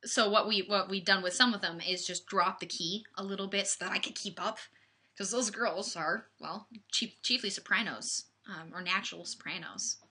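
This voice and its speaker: Bright-voiced young woman chatting on webcam. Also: medium pitch, normal speed. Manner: chatty and rambling, with many fillers and restarts. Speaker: livestream host. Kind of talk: casual webcam chat with viewers. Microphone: webcam microphone.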